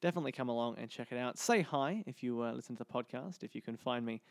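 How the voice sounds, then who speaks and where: A man's voice: medium pitch, fast talker, gravelly, dry recording. Upbeat man, dry close sound. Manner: upbeat, promotional. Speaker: podcast host. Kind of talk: podcast hosting, interview and sign-off.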